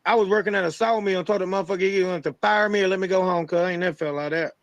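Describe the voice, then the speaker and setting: A man's voice: sits high, rapid-fire, no room sound, highs cut off. A man from Southeast Georgia, a co-host who jokes crudely in casual podcast banter. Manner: crude, joking, loud.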